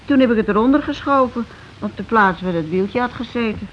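Actress as a meek, muddled, hesitant wife. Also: female voice, fairly high pitch, fairly quick, natural voice. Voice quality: colloquial, unpolished speech. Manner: hesitant, stammering, repeats herself. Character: simple, meek, muddled working-class wife. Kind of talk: naturalistic radio play acting. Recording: dull, noisy old radio recording.

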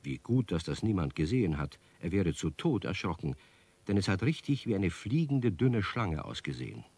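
A storybook narrator for a children's radio tale. His voice is low and husky, with lively, expressive rises and falls. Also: normal speed, exaggerated voice. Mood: storytelling. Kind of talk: scripted narration.

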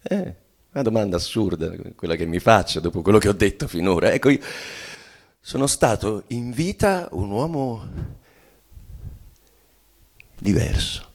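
An actor playing a dead bourgeois man bitterly confessing in a theatrical monologue, with halting, weighty phrasing and sudden swells. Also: fairly low pitch, expressive. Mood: brooding, searching. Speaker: stage actor giving a dramatic reading. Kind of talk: theatrical monologue reading of a literary play.

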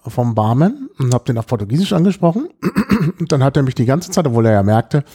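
Ein Mann, der in einem Podcast locker und mit vielen Füllwörtern von einer Reise erzählt. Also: male voice, medium pitch, very animated, a little fast, dark tone, breathy edge. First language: German. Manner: chatty storyteller, many fillers. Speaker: podcast host. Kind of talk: casual chat.

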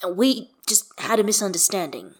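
A woman's voice: medium pitch, sing-song, normal speed, husky. A woman voicing an earnest, exasperated man in a story, speaking in formal, precise, emphatic sentences. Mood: dry, insistent.

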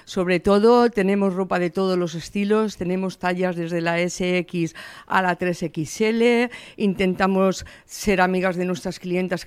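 A woman's voice: lowish voice, a little mellow, clean tone. A woman, a clothes shop owner talking conversationally to promote her business.

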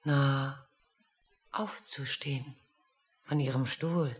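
A woman's voice: very deep voice, fairly even intonation, slow pace, long reverb tail. An actress playing a composed, officious woman in a radio play, matter-of-fact and faintly sardonic. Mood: cool. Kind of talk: German radio play acting.

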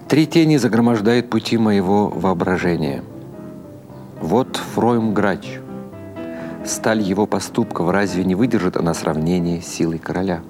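A man giving an expressive, measured audiobook narration of a literary story. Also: low-pitched. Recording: music behind the voice.

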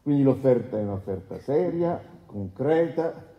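A man playing a formal, deliberate defence lawyer addressing a judge in a courtroom drama. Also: medium pitch, animated, highs cut off, natural voice. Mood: formal, assured. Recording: echoey room.

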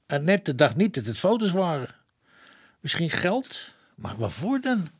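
A man's voice: on the high side, sing-song, measured pace, slightly rough, full character voice. A man playing a folksy, plain-spoken police detective who defers to his inspector in a radio drama. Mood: eager, puzzled.

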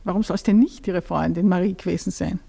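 A woman's voice: on the low side, steady tone, fast talker, creaky, warm tone, slightly rough, exaggerated voice. A woman playing a suspicious, piqued society mistress with a pointed, sarcastic manner in a German radio play.

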